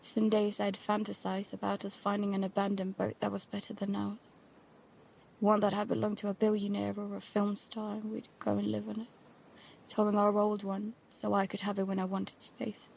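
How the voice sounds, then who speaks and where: A woman's voice: mid-range voice, completely flat delivery, moderate pace, creaky, a little mellow, slight background noise, natural voice. A woman playing an anxious, stubborn teenage daughter in an audio drama, speaking in a tense, intimate way. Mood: uneasy, hushed. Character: anxious, stubborn teenage daughter narrating life aboard a boat. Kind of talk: audio drama acting with first-person narration.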